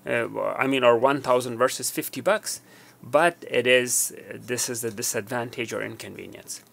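A man's voice: medium pitch, steady tone, normal speed, gravelly, a bit bright. A man in his fifties giving an unscripted product demonstration, explaining and rephrasing his points as he goes. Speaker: tech tutorial presenter.

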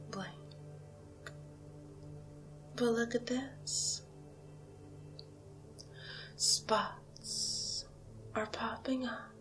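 A woman performing a whispered ASMR hypnosis roleplay as a haughty, mesmerizing alien cow, breathy and drawn-out with long pauses. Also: fairly high pitch, fairly bright tone.

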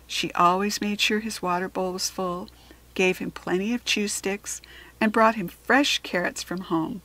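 A woman with a standard American accent reading a children's chapter book aloud in an expressive, teacherly way. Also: medium pitch, measured pace, low-quality recording.

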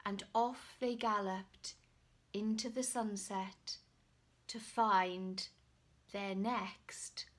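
A woman in her forties reading a picture book aloud to young children, with dramatic pauses and hushed asides. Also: mid-range voice, steady tone. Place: small room at home, indoors. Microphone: camera or phone at about arm's length.

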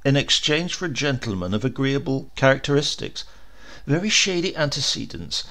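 A man's voice: medium pitch, gravelly, a bit bright. A man narrating a horror story as a dramatic audiobook reading.

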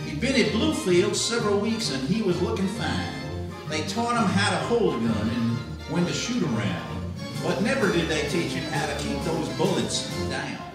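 A man's voice: on the high side, very animated, moderate pace. A man playing an aggrieved hillbilly farmer, singing a comic folk song in a theatrical way. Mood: comic grievance. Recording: very echoey room, distant mic, music behind. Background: musical accompaniment.

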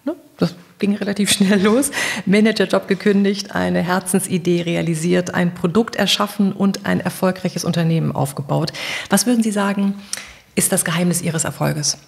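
A woman's voice: on the low side, steady tone, moderate pace, vocal fry. A woman hosting a podcast, speaking in a conversational interviewing style.

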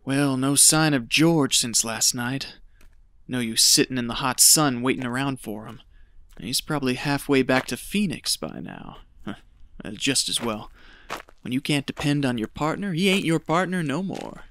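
Gravelly, folksy gold prospector, acted by a man. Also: medium pitch, moderate pace, fairly bright tone, no room sound, lightly acted. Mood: resigned. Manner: folksy, muttering to himself when alone. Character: stubborn, gold-hungry male prospector in the desert. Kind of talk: audio drama acting.

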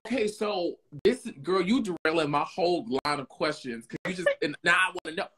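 Husky, lively woman host. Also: lowish voice. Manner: chatty, excited questioning, quick asides. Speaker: interview host. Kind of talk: casual chat. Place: home room, video call.

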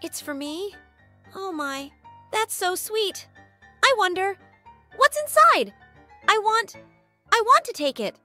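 A woman acts out a volatile cartoon cat with a high, very animated voice. The delivery is theatrical, flipping from sugary to snappish, secretly smitten, over clear background music. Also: slow, long pauses, exaggerated voice. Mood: delighted. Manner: theatrical, exclamatory, swinging between sweet and snappish. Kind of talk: animated comic dub acting.

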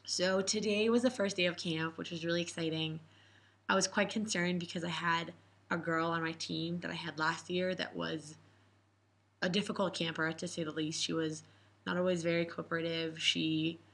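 A woman in her twenties from Israel, a camp coach, calmly telling a story in an interview. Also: fairly low pitch, normal speed. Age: 20s. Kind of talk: reflective interview answers. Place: indoor room with posters.

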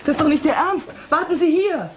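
Professor's secretary, dutiful, easily flustered, polite, hesitant, radio play. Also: female voice, sits high, animated, a little fast. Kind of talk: German science fiction radio drama acting.

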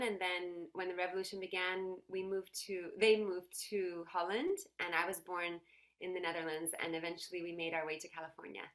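A woman's voice: lowish voice, fairly bright tone, low-quality recording. A woman, giving friendly, explanatory answers as a guest in a video call interview. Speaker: interview guest, dance teacher. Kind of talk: conversational interview. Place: room at home, video call.

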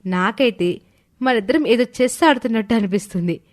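An earnest young working woman acted by a woman in a cartoon moral story. Her voice is fairly high, speaking scripted dialogue. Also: animated, a little fast, natural voice. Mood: friendly, earnest. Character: young working wife and her chummy office friend.

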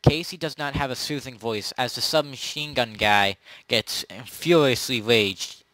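A man improvising casual narration as game master of a role-play, often halting and correcting himself. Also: mid-range voice, sharp tone, dry recording, low-quality recording.

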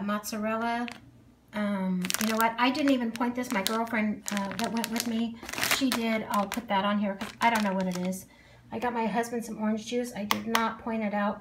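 Chatty woman with a slightly high voice. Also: noisy background. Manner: chatty, thinking aloud with frequent ums. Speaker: vlogger. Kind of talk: casual grocery haul narration. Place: home kitchen, indoors.

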